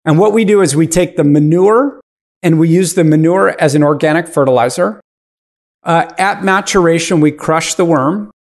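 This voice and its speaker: A man's voice: on the high side, moderate pace. A man in his fifties, a podcast guest explaining his business in casual interview talk. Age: 50s.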